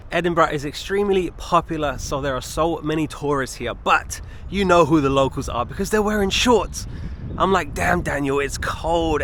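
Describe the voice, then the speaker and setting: A man's voice: fairly high pitch, fairly bright tone, slight background noise. A man with an English accent talking casually and enthusiastically as a travel vlogger, leaning on emphatic words. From England. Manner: enthusiastic, repeats intensifiers. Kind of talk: casual walking travel vlog. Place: outdoors on city streets and in a park.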